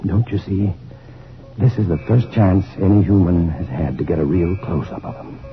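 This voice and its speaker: An actor playing an earnest, protective, determined scientist hero in an old-time radio drama. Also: male voice, low voice, moderate pace, muffled recording. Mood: urgent. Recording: noisy, phone-thin vintage radio recording.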